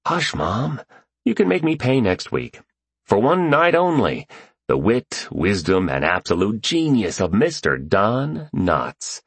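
Male narrator as theatrical, playful dad, thirties, audiobook; grand showman delivery, lively pitch swings. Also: slow, breathy edge, no echo, full character voice.

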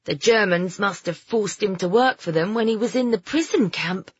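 A woman voicing a rough-spoken, cheeky boy, grumbling but game, with a blunt manner, in a storybook reading. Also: medium pitch, moderate pace, dry recording, muffled recording, lightly acted. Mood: gloomy suspicion. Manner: blunt, muttering.

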